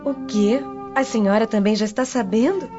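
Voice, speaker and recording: An actress playing a devoted, eager young Roman bride-to-be in a radio drama, earnest and dutiful. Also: female voice, fairly high pitch, normal speed, music in the background, natural voice. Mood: surprised. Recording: dull lo-fi audio.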